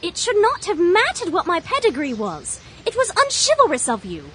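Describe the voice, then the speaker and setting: A woman's voice: very high voice, huge pitch swings, normal speed, bright, forceful tone, a little hiss or hum, heavily acted. An actress playing a prim, spirited young Victorian lady in a radio drama, with a proper, emotive delivery. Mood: indignant, tart. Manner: proper, crisply formal, emotive.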